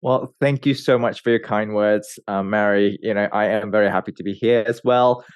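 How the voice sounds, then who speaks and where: A man in his thirties from Malaysia, a research scientist telling his career story in a relaxed way, with many fillers and restarts. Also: fairly low pitch, normal speed, smooth, no echo, clean studio sound. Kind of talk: conversational interview answers.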